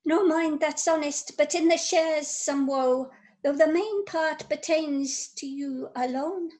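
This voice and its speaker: High, solemn messenger, played by a woman. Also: a little mellow. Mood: reluctant dread. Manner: solemn, measured delivery. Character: grave, reluctant noble messenger bearing terrible news. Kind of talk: amateur radio-play Shakespeare acting. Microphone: recorded over video call.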